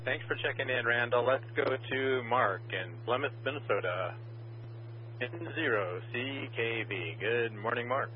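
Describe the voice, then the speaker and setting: A man's voice: mid-range voice, measured pace. A man acting as net control on a ham radio net, steadily calling in check-ins and adding dry quips. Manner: steady, matter-of-fact call-taking with dry quips. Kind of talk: radio net roll call. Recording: dull, muffled radio audio with faint hiss.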